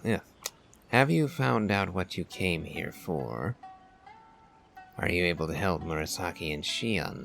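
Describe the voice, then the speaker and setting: Man as low, unhurried, cautious traveler. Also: creaky, fairly bright tone, exaggerated voice. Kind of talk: game character dubbing. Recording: faint music under the voice.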